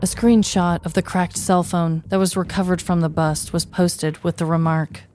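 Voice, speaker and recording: A woman's voice: on the low side, little pitch movement, normal speed, darkish tone, faint music behind. An American woman co-hosting a podcast, reading a scripted true-crime story. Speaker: podcast co-host.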